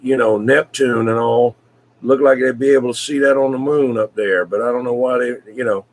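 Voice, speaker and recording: A man in casual CB radio chat, talking in a folksy, rambling, hesitant way with frequent pauses. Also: mid-range voice, steady tone, poor audio. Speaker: CB radio hobbyist, video maker.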